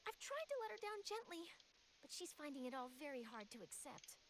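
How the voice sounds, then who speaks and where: A woman's voice: high voice, big pitch swings, a little fast. A woman playing an earnest, chirpy young female news reporter in an anime-style video game. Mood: apologetic, concerned. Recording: noisy game stream capture.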